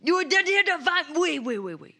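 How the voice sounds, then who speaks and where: A woman voicing a confused, excitable lizardfolk shaman in a tabletop game, with broken, hesitant speech. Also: very high-pitched, a little fast, exaggerated voice. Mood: surprised.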